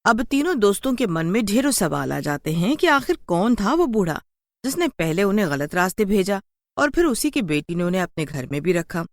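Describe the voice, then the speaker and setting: A woman's voice: medium pitch, big pitch swings, normal speed, no echo. A woman narrator reading a scripted horror story for children.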